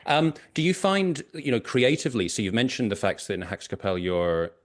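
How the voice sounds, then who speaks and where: A man's voice: medium pitch, a little fast. A man who is a native English speaker, hosting a casual podcast interview and often repeating words as he frames his questions.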